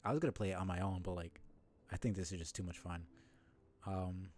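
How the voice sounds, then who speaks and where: A man's voice: low-pitched, fairly even intonation, brisk, darkish tone, a little hiss or hum. A man livestreaming, chatting casually to his viewers in a rambling way as he thinks aloud, with music behind him. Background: music under the voice.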